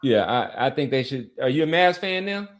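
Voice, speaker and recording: An argumentative man with a medium-pitched voice, talking sports as a podcast host. He keeps it casual and conversational and readily interjects to push back. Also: sing-song, normal speed.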